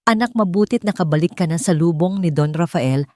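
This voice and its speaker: A woman voicing a frail, kindly old father in an audiobook, speaking in a gentle fatherly way. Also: on the low side, expressive, a little fast, no room sound, clean studio sound, close to an everyday voice. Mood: tender, glad. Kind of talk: audiobook character dialogue.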